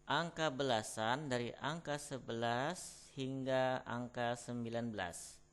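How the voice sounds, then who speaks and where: A man with an Indonesian accent, teaching beginner Arabic by reading short Indonesian phrases as prompts and pausing for answers. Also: medium pitch, steady tone, a bit bright, clear, resonant tone. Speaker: Arabic tutor. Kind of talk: prompting vocabulary drills.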